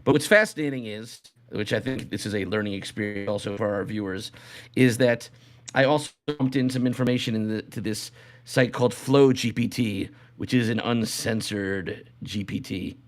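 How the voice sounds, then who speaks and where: A man's voice: fairly low pitch, moderate pace. Man hosting a casual comedy podcast. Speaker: show host.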